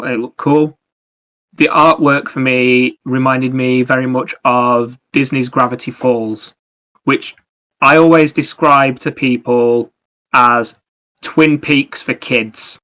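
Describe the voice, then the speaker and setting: Bright-voiced man, even pitch, podcast chat. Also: muffled recording. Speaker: podcast co-host. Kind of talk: casual video game review chat.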